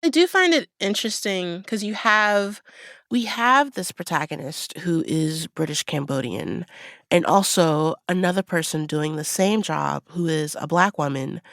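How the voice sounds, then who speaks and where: Unhurried woman podcast host, dry studio sound. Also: fairly low pitch, a bit bright, dry recording. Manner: playful, informal. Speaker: podcast host interviewing an author. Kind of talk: casual conversational interview.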